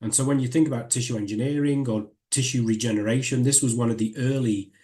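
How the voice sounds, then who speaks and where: Northern English man with a mellow voice. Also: medium pitch, steady tone. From Northern England. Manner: measured, explanatory, with frequent restarts. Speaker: guest lecturer. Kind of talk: academic seminar talk.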